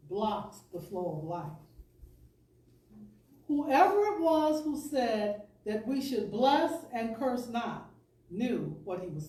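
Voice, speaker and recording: A woman giving a deliberate, expressive, oratorical reading of an inspirational talk to a congregation. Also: a little high. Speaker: speaker, professional storyteller. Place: spiritual center service, indoors. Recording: echoey room, distant pickup.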